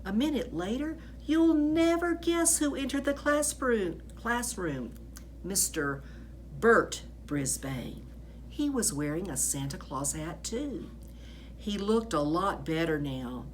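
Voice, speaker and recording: A woman reading a children's book aloud as expressive narration, with animated delivery and drawn-out emphasis on key words. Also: medium pitch, slight background noise.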